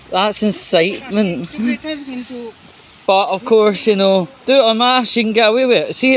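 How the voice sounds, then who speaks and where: Man, slow pace, sweary casual commentary, rambling, halting, faint vehicle-like noise behind. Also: high-pitched, muffled recording. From United Kingdom. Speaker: host of his own commentary vlog. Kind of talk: casual opinionated news commentary.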